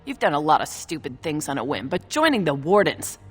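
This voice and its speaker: An actress playing a feisty, scornful dwarf woman in a video game, with a sharp, scolding manner. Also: low voice, sing-song, a little fast, husky, faint music behind, heavily acted. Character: feisty, scornful female dwarf, exasperated partner. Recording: long hall reverb.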